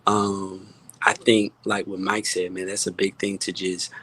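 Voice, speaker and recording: Candid, halting man in casual conversation. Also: deep voice, flat delivery, bright, forceful tone. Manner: halting, repeats words, candid, emphatic. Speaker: music producer, panel guest. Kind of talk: casual panel chat.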